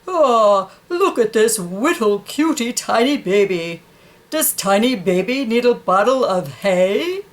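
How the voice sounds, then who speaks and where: A woman acts out a big horse from a picture book, proud of its mane and full of condescension. The voice is fairly high and swings widely in pitch. It teases in slow, cooing baby talk. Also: expressive. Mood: condescending, vain. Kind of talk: children's picture book read aloud.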